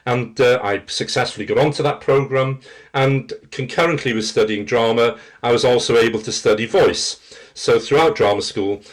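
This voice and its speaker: A man's voice: medium pitch, moderate pace. A middle-aged man with a rich voice, reminiscing as a guest in an interview. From Wales. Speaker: interview guest, theatre practitioner and teacher.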